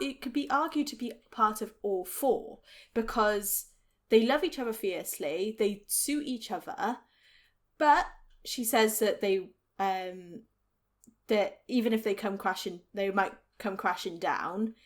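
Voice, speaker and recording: A woman's voice: medium pitch, measured pace. A woman with a mild Welsh accent, co-hosting a casual podcast and talking in a chatty, laughing way full of "like" fillers. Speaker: podcast co-host. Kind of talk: casual chat.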